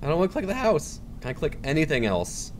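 Animated man doing gaming commentary. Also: a little high, fast talker, a little hiss or hum. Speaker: gaming video host. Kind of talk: casual let's play commentary. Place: indoor room at home.

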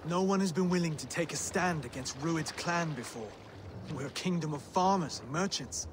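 A man's voice: a little high, moderate pace, lightly acted. An actor playing an earnest, stubborn young Saxon would-be king in a video game, determined in his manner. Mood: resolute. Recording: game audio with ambient noise.

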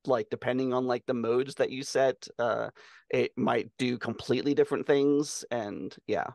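A man's voice: mid-range voice, fairly even intonation, moderate pace, creaky, a little mellow, dry recording, studio quality. A man in his forties chatting casually as a podcast co-host. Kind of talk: casual chat. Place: indoors, video call. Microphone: headset.

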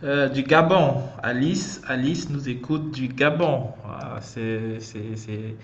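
A young man from Cameroon, hosting a casual livestream and talking in a halting, chatty way as he calls out to viewers over a headset. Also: mid-range voice. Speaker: host of a student livestream. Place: small indoor room. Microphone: headset on a video call. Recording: muffled video-call audio, faint hiss.

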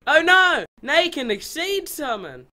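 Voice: high-pitched, big pitch swings, a little slow, bright, forceful tone, heavily acted. An actor voicing a scheming, gloating small schoolboy villain in a cartoon parody dub, theatrical and plotting aloud. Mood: fake friendly.

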